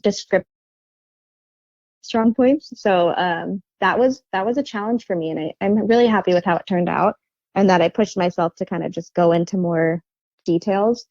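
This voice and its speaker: A quiet young woman chats softly, pausing and restarting often. Her voice has a slight gravelly fry. The sound is dry and a bit dull, with no room around it. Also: on the low side, dry recording. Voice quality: soft, quiet voice. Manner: hesitant, many fillers and restarts. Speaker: author and guest. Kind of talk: casual interview chat.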